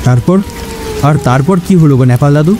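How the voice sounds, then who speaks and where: A man voicing an anxious, excitable young villager who asks startled questions in a horror audio story. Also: fairly high pitch, a little fast, over music, lightly acted. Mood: curious. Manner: stammering, questioning.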